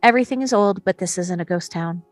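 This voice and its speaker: A woman's voice: medium pitch, fast talker, dry recording, soft background music. A woman narrator reading screenplay action and scene descriptions at a table read. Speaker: narrator reading stage directions.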